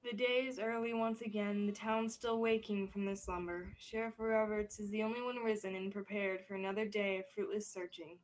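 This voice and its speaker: A woman's voice: medium pitch, level tone, brisk, hardly any pauses, rough recording. A young woman reading scripted narration as the storyteller of a student radio drama. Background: soft music.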